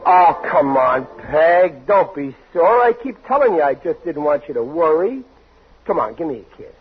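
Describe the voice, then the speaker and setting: A man's voice: on the high side, very animated, normal speed, husky, soft background music, full character voice. An actor playing a blustering, sentimental working-class father in 1940s radio comedy, emotional and given to sputtering. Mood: pleading, sulky. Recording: noisy, muffled 1940s radio recording.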